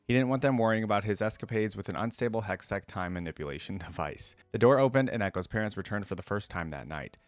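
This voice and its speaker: A man with a fairly low voice hosts a reaction video, reading story text aloud and adding casual commentary. The sound is close and dry, with very little room in it. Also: lively intonation, fast talker, hardly any pauses, no echo.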